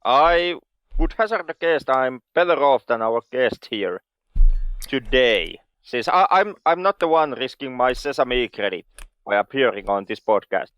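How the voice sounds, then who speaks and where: A man from Finland, co-hosting a podcast with dry, deadpan humor. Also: mid-range voice, unhurried, no echo, highs cut off. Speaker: podcast co-host. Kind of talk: casual chat.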